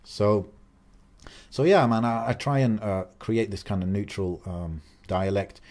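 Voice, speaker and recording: A man's voice: on the low side, measured pace, a little mellow. A young man of Algerian background co-hosting a podcast, talking casually with lots of fillers and restarts. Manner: talkative, many fillers and restarts. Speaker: podcast co-host.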